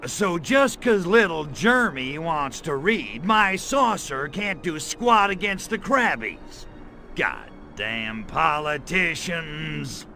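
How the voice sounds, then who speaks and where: Slow, swaggering alien wise guy. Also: on the high side, big pitch swings, bright, forceful tone, faint music behind, full character voice. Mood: sarcastic. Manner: sardonic, swaggering, flippant. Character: cocky, wisecracking, tough-guy male alien invader. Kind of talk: comedic video game acting.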